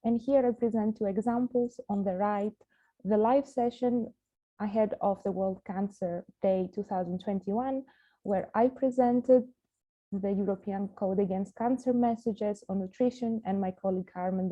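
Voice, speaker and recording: A Greek woman, a native Greek speaker, presenting her youth ambassador work on a webinar in a steady, prepared way. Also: mid-range voice, lo-fi sound. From Greece. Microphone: video call.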